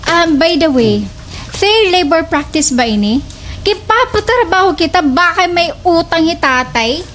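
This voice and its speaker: A woman's voice: very high voice, expressive, unhurried, fairly bright tone, heavily acted. A woman playing a sassy know-it-all daughter in a slapstick radio comedy, mock-formal and preachy, with a Waray accent. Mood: indignant. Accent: Waray, Eastern Visayas Philippines. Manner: mock-formal, mixing in English.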